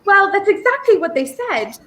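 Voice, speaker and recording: A young woman plays the leading lady of an old-time radio thriller. Her voice is extremely high and lively in its swoops, with an earnest but prickly temper. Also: normal speed, heavily acted. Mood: earnest, shaken. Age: young adult. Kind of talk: 1930s radio play acting.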